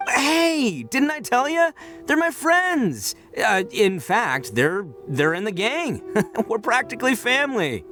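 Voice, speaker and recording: A man's voice: very high voice, sing-song, takes it slowly, bright, forceful tone, faint music behind, exaggerated voice. A man playing a brash, boisterous, good-hearted young oni gang boss in an anime game, with a slangy, informal delivery. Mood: flustered.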